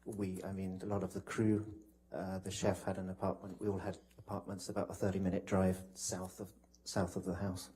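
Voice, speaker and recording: Man, courtroom witness, husky voice, very even intonation, polite, halting recollection. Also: sits low. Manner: polite, hesitant, broken phrasing. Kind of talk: courtroom testimony, recounting from memory.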